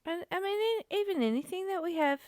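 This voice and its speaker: A woman's voice: very high voice, lively intonation, fairly quick, a little mellow, no echo, studio quality. A woman reading aloud and reflecting in casual discussion, in a musing, questioning and conversational manner. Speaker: study group co-host, reader.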